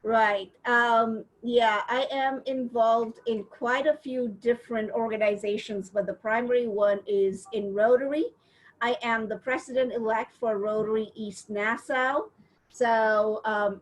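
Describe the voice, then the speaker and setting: Measured middle-aged woman from India. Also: a little high, fairly even intonation, low-quality recording. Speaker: interview guest, professor. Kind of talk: casual video-call interview.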